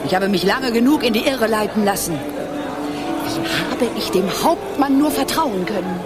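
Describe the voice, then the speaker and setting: A woman's voice: on the high side, normal speed, lightly acted. An actress playing a worried, imperious middle-aged noblewoman in a radio play, formal and commanding. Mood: agitated. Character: worried, imperious noblewoman, mother of young emperor. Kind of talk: German radio play acting. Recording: music and crowd behind.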